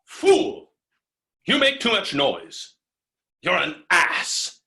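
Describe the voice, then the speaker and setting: Actor as retired officer, stage comedy: high-pitched, bright and forceful man, pompous, formal, blustering. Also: huge pitch swings, extremely slow, vocal fry, exaggerated voice. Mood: bellowing anger.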